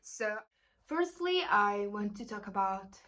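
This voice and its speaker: Slow, hesitant Italian-accented young woman. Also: mid-range voice, animated, bright, forceful tone. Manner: hesitant, searching for English words, many fillers. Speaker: book vlogger. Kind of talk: casual book review vlog.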